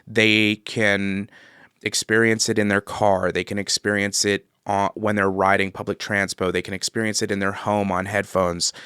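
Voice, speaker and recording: A man's voice: lowish voice, bright, forceful tone, smooth, dry recording, clean studio sound. A man who creates and hosts audio drama, talking casually and conversationally. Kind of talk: casual conversational interview talk.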